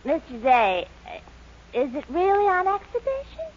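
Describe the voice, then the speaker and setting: An actress playing a scandalized, gushing busybody neighbor, incredulous, in 1940s radio sitcom style. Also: female voice, very high-pitched, sing-song, unhurried, full character voice. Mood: shocked. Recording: dull 1940s radio, faint audience noise.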